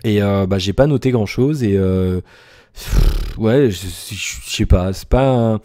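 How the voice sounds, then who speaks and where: A man's voice: lowish voice, measured pace, dark tone. A tired young man chatting loosely in a daily vlog, with many fillers and sighs. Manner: rambling, many fillers and sighs, informal slang. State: tired, yawning. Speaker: YouTuber giving daily tech news and channel update. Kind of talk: casual vlog chat.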